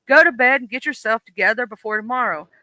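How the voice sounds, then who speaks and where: A storyteller voicing a young woman who is concerned and then teasing, in a horror story read live, with her quoted lines spoken slightly louder. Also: medium pitch, fast, no echo, rough recording. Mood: mocking.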